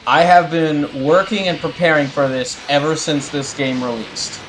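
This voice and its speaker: A man talking casually to his livestream chat as a game streamer, halting and rambling as he corrects himself. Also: a little high, fairly even intonation, music in the background, poor audio.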